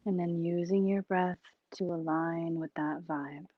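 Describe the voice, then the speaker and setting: Slow, soft woman guiding a meditation. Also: fairly low pitch, steady tone, dry recording, phone-quality sound. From Hawaii. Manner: soft and breathy, drawn out. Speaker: meditation guide.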